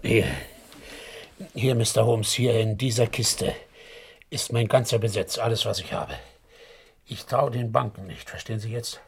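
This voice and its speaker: An actor playing an anxious, evasive householder in a radio play, agitated and hesitant, with a husky voice. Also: male voice, fairly low pitch, steady tone, a little slow, long pauses, roomy sound, a little hiss or hum. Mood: nervous, pleading. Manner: agitated, hesitant, stammering repetitions. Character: anxious, evasive male householder guarding his fortune. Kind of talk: German radio play acting.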